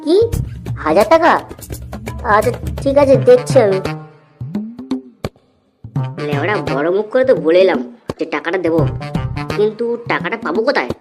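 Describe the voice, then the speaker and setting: A man acts out a cheeky young son in a comic cartoon. He is high-pitched and whiny, always protesting. Music sits clearly behind the voice. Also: big pitch swings, moderate pace, long pauses. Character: cheeky young married son, broke slacker.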